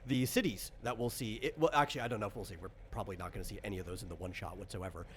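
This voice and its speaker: A man's voice: fairly low pitch, very fast. Rambling man explaining, with background noise. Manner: rambling, self-correcting explanations. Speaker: game designer and host. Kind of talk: casual explanatory chat during tabletop character creation. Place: online voice call recorded on computer. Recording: clear background noise on voice chat.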